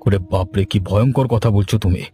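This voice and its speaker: A man playing a stern, sensible village gentleman in a horror cartoon, speaking in a measured, admonishing way. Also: fairly low pitch, lightly acted. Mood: shocked disapproval. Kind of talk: Bengali horror cartoon dubbing. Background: music.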